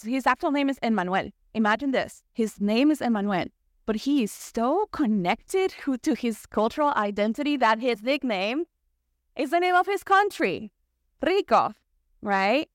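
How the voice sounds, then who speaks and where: A woman's voice: fairly high pitch, expressive, normal speed, dry recording, studio quality. A young woman from El Salvador with a Salvadoran Spanish accent, a native Spanish speaker, gives a conversational personal talk to a church congregation, often saying "like" and "right?". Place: church sanctuary.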